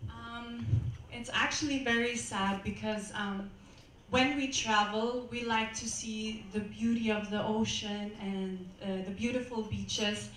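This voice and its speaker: A woman's voice: fairly high pitch, steady tone, a bit bright, slight background noise. Woman with a voice in an echoey hall. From Austria. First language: German. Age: 20s. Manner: halting, careful answers. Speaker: pageant contestant. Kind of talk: answering judges' questions. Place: hotel event hall. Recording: echoey hall, distant mic.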